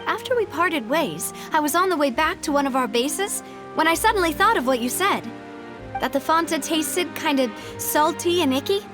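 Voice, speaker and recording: A woman's voice: high-pitched, expressive, normal speed, over music. A woman playing a proud, determined young noblewoman in a video game, with pointed, polished, theatrical phrasing. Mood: explaining.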